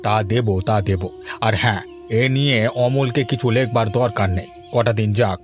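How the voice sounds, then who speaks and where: A man playing a calm, practical, miserly older husband in an animated fairy tale, speaking in a measured, colloquial way. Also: lowish voice, faint music behind, natural voice. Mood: practical, scheming.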